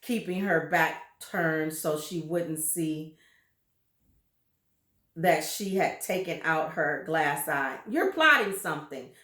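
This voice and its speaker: A woman reading a children's story aloud with deliberate, dramatic emphasis and brief asides to her listeners. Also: sits low, steady tone, a bit bright, roomy sound.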